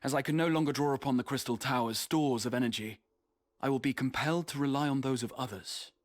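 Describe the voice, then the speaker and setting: A man's voice: medium pitch, dry recording, lightly acted. Man acting courteous scholarly mage, dry close recording. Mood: explaining, courteous. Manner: formal, archaic, measured diction. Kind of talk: scripted video game cutscene acting.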